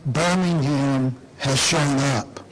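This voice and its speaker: A man speaking as an official at a public announcement, deliberate and emphatic, repeating phrases with rhetorical pauses. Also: mid-range voice, a little hiss or hum, rough recording. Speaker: airport official giving an announcement speech. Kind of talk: public speech at a press announcement.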